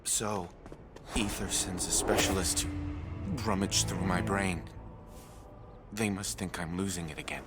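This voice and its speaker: A man playing a troubled, defensive scientist, speaking in a halting, sardonic and anxious way. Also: sits low, fairly even intonation, over loud music. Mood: guarded, strained.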